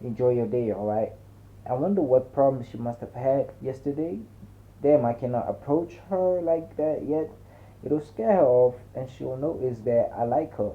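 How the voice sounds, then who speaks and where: A man narrating a dramatic first-person story in a colloquial, slangy way. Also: medium pitch. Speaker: audiobook narrator. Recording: muffled, narrow phone-like sound.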